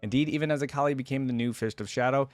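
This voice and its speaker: A man's voice: medium pitch, rapid-fire, dry recording, faint music behind. A man hosting a reaction video, moving between casual commentary and reading story text aloud.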